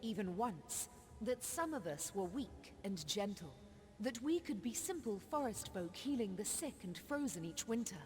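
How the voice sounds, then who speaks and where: An actress playing a proud, sorrowful forest witch in a fantasy video game, telling her tale in measured, formal speech. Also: medium pitch, sing-song, a little mellow, natural voice. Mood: bitter, reproachful. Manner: measured, solemn, formal storytelling. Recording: long reverb on game dialogue.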